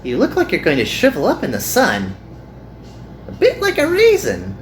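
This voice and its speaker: A man putting on a high, lilting anime girl. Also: wildly swooping pitch, moderate pace, a little hiss or hum. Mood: friendly, coaxing. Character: cheerful, caring young anime girl. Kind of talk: playful visual novel character reading.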